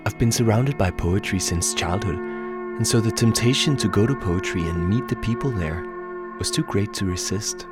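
Danish-born man, deep voice, calm documentary narrator over music. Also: normal speed. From Denmark. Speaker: radio documentary maker.

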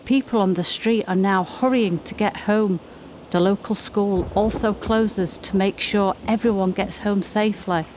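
A woman's voice: mid-range voice, fairly even intonation, measured pace, darkish tone, a little hiss or hum, rough recording. A woman reading scripted storybook-style narration as the narrator of a community radio play. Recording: music playing behind the voice. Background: music.

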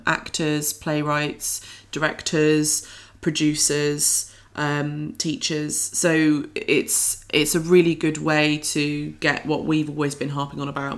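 A woman co-hosting a podcast, chatting in an informal, enthusiastic way. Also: low voice. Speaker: podcast co-host. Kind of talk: casual scripted-free podcast chat.

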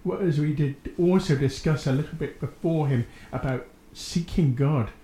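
In a roomy, echoing space, a man hosts a faith programme. His voice is mellow and a little high for a man, and he reflects in measured phrases. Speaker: host of a religious radio programme.

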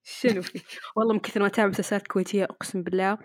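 A young Saudi woman with a touch of Hijazi talks quickly in playful podcast banter. She sounds close to the mic in a dry room. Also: mid-range voice, steady tone, no room sound. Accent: Saudi Arabic with Hijazi touches. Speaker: podcast co-host. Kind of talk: casual chat.

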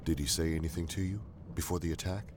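An actor playing an ancient, weary male traitor soldier, persuasive and often hushed. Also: deep voice, steady tone, quick pace, background noise, natural voice. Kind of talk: audio drama acting. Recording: loud music underneath.

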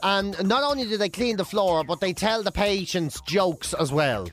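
An Irish man with a strikingly high-pitched voice presents a radio show. His talk is casual and full of joking banter. A faint bed of music sits underneath him. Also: expressive, normal speed, fairly bright tone. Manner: joking, chatty. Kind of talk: casual banter and quiz hosting. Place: radio studio.

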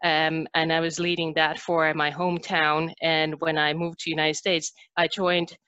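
A middle-aged woman from Finland with a Finnish accent, telling her career story as an interview guest with many pauses. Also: deep voice, flat delivery, pushed and bright, no room sound, highs cut off. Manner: earnest, with frequent "uh" and "um" pauses. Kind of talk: conversational interview.

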